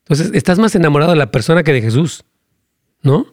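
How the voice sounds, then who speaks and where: A male pastor preaching and praying during a live broadcast. He prays in fervent, rhythmic lines with emphatic repetitions, and his pitch climbs as he argues a point. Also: quick pace, dry recording, studio quality. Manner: animated, rising pitch when arguing a point. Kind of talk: live-streamed sermon and prayer with Q&A.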